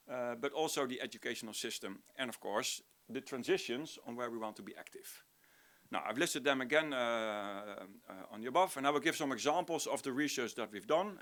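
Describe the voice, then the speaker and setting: Man, middle-aged, Dutch accent, medium-pitched voice, informal conference presentation, halting phrasing with restarts, lecturer. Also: fairly bright tone. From Netherlands. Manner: halting, searching for words with frequent restarts. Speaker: university lecturer and researcher, conference speaker. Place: conference room, before an audience.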